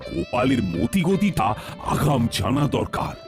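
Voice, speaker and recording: A man's voice: on the high side, very animated, unhurried, soft background music. A man voicing a gullible, easily alarmed king in a cartoon, exclaiming and repeating his words. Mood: pleased.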